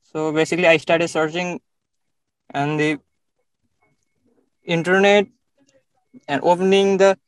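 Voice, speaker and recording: A young man from Nepal, speaking in a casual interview, often pausing and searching for words with many fillers. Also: fairly high pitch, steady tone, clean tone, dry recording.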